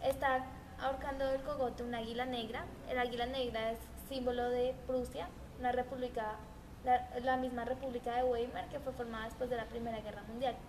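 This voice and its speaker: A woman's voice: a little high, flat delivery, a little fast, a little mellow. A woman presenting a history documentary, reading earnestly with small stumbles and restarts. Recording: noisy homemade recording.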